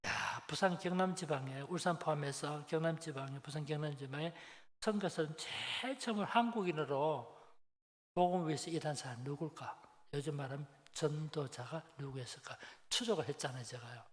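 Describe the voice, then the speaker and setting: A man in his seventies delivers a sermon with a Busan Gyeongsang accent, his voice a little higher than most men's. He tells stories colloquially, puts questions to his listeners and repeats key phrases. Long hall reverb surrounds his speech. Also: fairly quick. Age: 70s. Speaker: preacher. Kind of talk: Sunday afternoon sermon, conversational storytelling.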